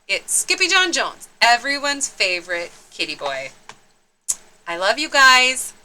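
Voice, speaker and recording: A woman's voice: fairly high pitch, sing-song, unhurried, sharp tone. A woman narrating a children's storybook expressively, with theatrical, dramatic emphasis.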